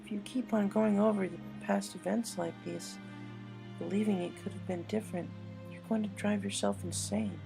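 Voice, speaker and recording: A woman's voice: mid-range voice, steady tone, moderate pace, creaky, music in the background, pitched above the performer's own voice. A woman voicing a bookish young female cartoon pony who comforts and reasons in an earnest, soothing way. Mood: soothing, firm.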